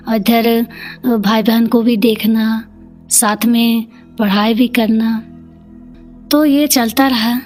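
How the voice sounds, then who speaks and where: Woman, eastern Indian Hindi accent, high voice, very unhurried, halting personal storytelling, plain-spoken. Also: darkish tone. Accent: eastern Indian regional Hindi. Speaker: community leader, podcast guest.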